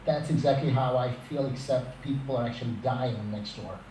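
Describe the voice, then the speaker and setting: A man from Eastern Hungary with a Hungarian accent, co-hosting an animated, joking casual chat over a video call on his laptop mic. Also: mid-range voice, fairly quick. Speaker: co-host. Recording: roomy, distant, faint background hiss.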